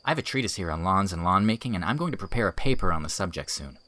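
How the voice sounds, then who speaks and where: A man's voice: low voice, lively intonation, very fast, pushed and bright. A man playing an earnest, teasing young village schoolteacher in audiobook dialogue, articulate and enthusiastic. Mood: brisk, planning.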